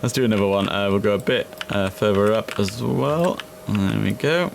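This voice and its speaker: A young man chatting casually through a simulator game, relaxed and filling pauses with ums and uhs. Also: lowish voice, creaky, slight background noise. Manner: chatty, relaxed, with frequent fillers. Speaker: gaming streamer. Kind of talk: casual gameplay commentary.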